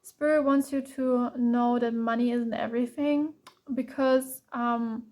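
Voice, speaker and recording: German woman doing a tarot reading, pretty high voice but kinda flat, blunt, lots of ums. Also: measured pace. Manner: blunt, earnest, calling the viewer out directly; frequent ums and restarts, repeats "to be honest". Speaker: tarot card reader. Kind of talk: pick-a-card tarot reading.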